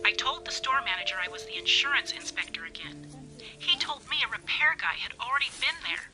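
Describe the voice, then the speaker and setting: An urgent woman investigator in a radio drama. Her serious voice is high and very sharp and bright, with faint music behind. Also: very animated, brisk, exaggerated voice, rough recording. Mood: worried, urgent. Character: urgent woman investigator reporting bad news by phone. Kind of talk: contemporary radio drama acting.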